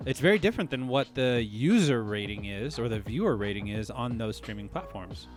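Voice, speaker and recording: A man co-hosting a casual podcast chat, thinking out loud and hedging his theories. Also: mid-range voice, lively intonation, fairly quick, soft background music. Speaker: podcast co-host.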